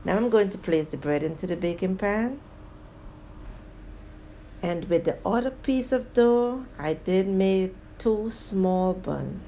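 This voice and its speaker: A woman hosting a home cooking video, calmly narrating each step of a recipe and speaking to viewers as guys. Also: mid-range voice, slow pace, slight background noise. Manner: plain, steady instructional tone addressing viewers as guys. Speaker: home cooking show host.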